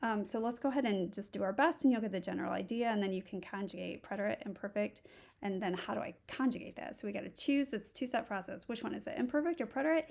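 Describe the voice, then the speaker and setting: Gravelly-voiced woman teacher thinking aloud. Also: medium pitch, fast talker, a little mellow. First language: English. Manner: thinks aloud, walks through steps. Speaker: Spanish instructor. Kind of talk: recorded tutorial lesson.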